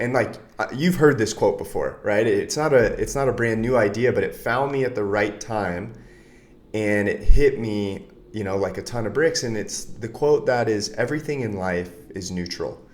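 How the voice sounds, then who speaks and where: A man who coaches other men, telling his story as an interview guest in an earnest, candid way. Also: on the low side. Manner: earnest, candid, animated bursts.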